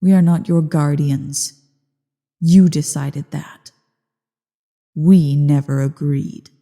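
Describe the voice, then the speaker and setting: A woman voicing an ancient evil female dragon in a slow ASMR roleplay monologue, haughty, bored and menacing, with a low, husky, creaky delivery. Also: fairly even intonation, warm tone, heavily acted. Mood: haughty, disdainful. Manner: haughty, disdainful, deliberate. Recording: long cavernous reverb.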